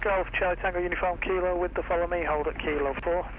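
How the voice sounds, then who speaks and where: A man's voice: high-pitched, steady tone, fast talker. A man giving clipped, procedural air traffic control instructions over the radio. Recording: dull, noisy aviation radio.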